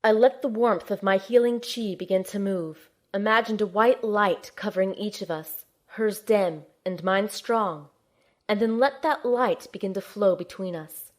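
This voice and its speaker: Lead narrator female actor: resolute young adventure heroine, first-person audio drama, middle register, sincere and measured. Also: mid-range voice, lightly acted. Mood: focused, earnest. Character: earnest, determined young woman heroine narrating her own adventure.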